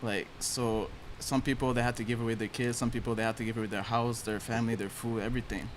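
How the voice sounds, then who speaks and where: A young man from Haiti, a guest musician talking about his life in relaxed casual chat with slang. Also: mid-range voice, fast, a little hiss or hum.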